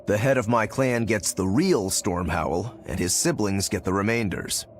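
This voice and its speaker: A man voicing a determined swordsman demon in a video game, explaining things in a measured way. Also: on the low side, a little hiss or hum, natural voice. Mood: matter-of-fact. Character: determined swordsman demon, stoic younger brother to a master. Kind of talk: English video game voice acting. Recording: game music under the voice.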